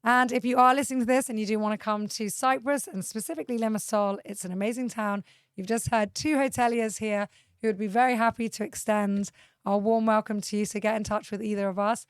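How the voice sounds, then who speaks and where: A woman's voice: a little high, steady tone, a little fast, smooth, no echo. A young woman hosting a casual interview podcast, chatty, upbeat and teasing. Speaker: podcast host.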